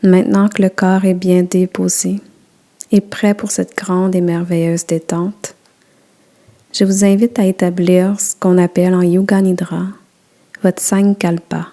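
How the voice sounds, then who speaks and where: Female relaxation guide, warm mellow tone, gentle soothing delivery, very unhurried. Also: lowish voice, creaky. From Quebec. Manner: slow, soft, soothing instructions. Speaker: yoga nidra guide.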